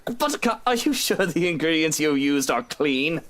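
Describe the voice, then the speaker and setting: A man's voice: on the high side, sing-song, normal speed, fairly bright tone. A man playing an affectionate, teasing, posh husband in a visual novel game. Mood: put off.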